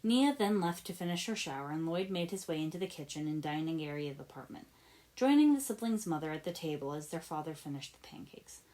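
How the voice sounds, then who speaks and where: Low-pitched woman reading a story aloud. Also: lively intonation, quick pace, a little mellow, rough recording. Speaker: podfic reader. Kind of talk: expressive narration of fan fiction.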